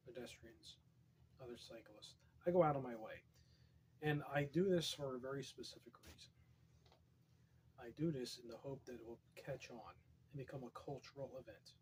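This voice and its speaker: A man with a hoarse, fading voice, reading poetry aloud and drifting into wry, rambling asides, keeping his voice soft and quiet. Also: mid-range voice, a little hiss or hum. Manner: quiet, soft-spoken, wry deadpan humor. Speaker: poetry reader.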